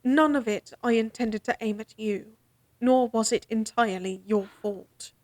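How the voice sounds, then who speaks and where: A woman's voice: a little high, a little slow, darkish tone, no echo, lightly acted. An actress playing a proud young lady-in-waiting and court musician in a fantasy audio drama, formal and a bit exasperated. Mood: candid. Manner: crisp, formal, slightly exasperated.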